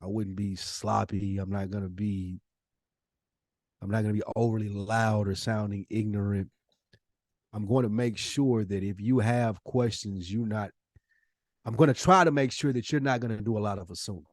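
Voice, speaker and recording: A man's voice: lowish voice, fairly even intonation, normal speed, dry recording, studio quality. A man co-hosting a casual podcast conversation, talking in an emphatic, probing way with plenty of slang. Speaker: podcast co-host.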